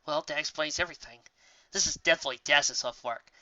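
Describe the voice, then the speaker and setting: Man as a blunt, sharp-voiced pegasus mare. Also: fairly high pitch, fast, lo-fi sound. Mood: dry. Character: gruff, temperamental but sensible female pegasus. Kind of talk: fan fiction storybook reading.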